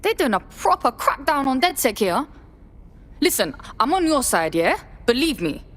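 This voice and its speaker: An actress playing a defiant, slangy young recruit with a London accent in a video game. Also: female voice, high-pitched, sing-song, moderate pace, fairly bright tone, heavily acted. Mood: wary. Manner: slangy, guarded, blunt.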